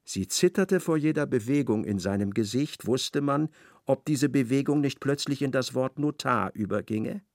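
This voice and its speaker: A man narrates a literary radio play with precise, measured phrasing. His voice has a gravelly creak at a medium pitch, recorded very dry. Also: no echo.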